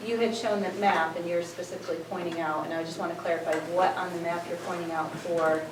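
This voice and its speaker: A woman's voice: fairly low pitch, fairly even intonation, fast. A woman on a commission asking hesitant clarifying questions at a public hearing. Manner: hesitant, clarifying, many fillers. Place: town meeting room. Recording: echoey hall from far off with noise.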